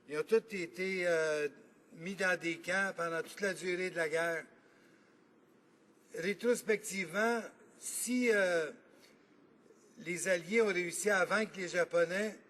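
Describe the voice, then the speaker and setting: A man with a Quebec French accent, a member of parliament giving an earnest, argumentative debate speech in a legislative chamber and pausing often to think. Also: high voice, a bit bright. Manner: earnest, argumentative, thinking aloud with frequent pauses.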